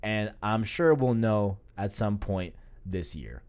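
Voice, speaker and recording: Young male podcast co-host, early twenties, lively rambling delivery with a swinging pitch. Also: slow, background noise. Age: 20s. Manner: animated, rambling, lots of filler phrases. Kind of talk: casual gaming podcast chat.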